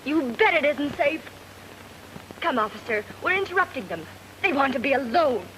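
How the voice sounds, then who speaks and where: A woman's voice: high-pitched, big pitch swings, normal speed, a little hiss or hum, low-quality recording. An actress playing a jealous, huffy wife in an old radio drama, sarcastic and dramatic about her straying husband. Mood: catty. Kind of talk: old time radio comic acting. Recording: old radio recording, loud music.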